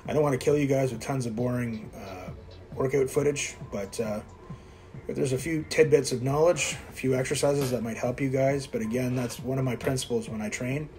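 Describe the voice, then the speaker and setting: A man in his fifties talks viewers through his gym routine between sets, blunt and self-mocking as he coaches. His voice sits at an ordinary middle pitch for a man, and faint music plays behind him in the gym. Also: fairly quick, a little mellow, poor audio. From Quebec, Canada. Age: 50s. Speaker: fitness vlogger. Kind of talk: casual workout commentary. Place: indoor gym.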